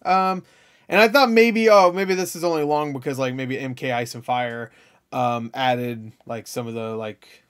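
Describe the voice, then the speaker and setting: A man's voice: medium pitch, unhurried, a bit bright, smooth. A man giving casual, off-the-cuff reaction commentary as a video host, slangy and jokey, swearing freely.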